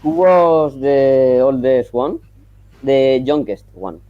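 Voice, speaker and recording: A Spanish-speaking man from Colombia with a Colombian accent, calling in over video and halting as he searches for English words. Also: mid-range voice, dull audio, faint music behind. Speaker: caller. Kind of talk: call-in discussion. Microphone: video call.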